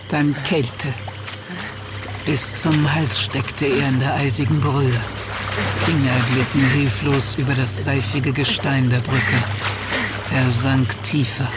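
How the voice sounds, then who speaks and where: An actor playing a grave male narrator of a horror tale, telling it in literary phrases with weighty, suspenseful pauses. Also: fairly high pitch, heavy background noise. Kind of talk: dramatic audio drama narration. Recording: very loud background sound effects.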